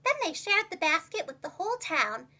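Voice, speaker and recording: A woman with a high, bright voice reads a storybook to young children. Her delivery is expressive and very animated, with each phrase carefully enunciated like a preschool teacher's. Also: normal speed.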